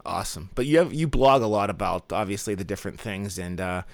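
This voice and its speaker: A man's voice: fairly low pitch, quick pace, gravelly, no room sound. A man hosting a podcast in an upbeat, casual way, often saying "awesome" between questions. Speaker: podcast host.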